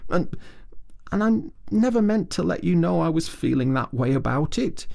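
A man's voice: on the high side, animated, moderate pace, creaky, warm tone, voice pushed up. A man voicing a small, timid male mole who speaks in a broken, sobbing, pleading way.

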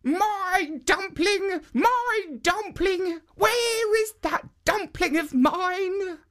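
A storyteller voicing a foolish, cheerful old woman who calls out and giggles in a very high, sing-song voice. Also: extremely slow, a bit bright, heavily acted. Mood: pleading. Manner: shrill, sing-song cries. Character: giggling old woman chasing her dumpling.

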